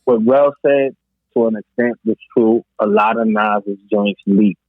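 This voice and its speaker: A man's voice: lowish voice, a little slow, dry recording. A man from New York on a podcast, debating music casually and explaining his points emphatically, repeating himself for emphasis. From New York, United States. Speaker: podcast co-host. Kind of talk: casual debate about hip hop. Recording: slightly dull, lo-fi podcast audio.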